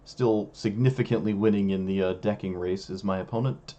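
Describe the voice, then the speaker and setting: A young man with a hoarse, failing voice, casually commenting on his own card game as a streamer, musing and self-critical. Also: fairly low pitch, steady tone, a little fast, mellow, highs cut off.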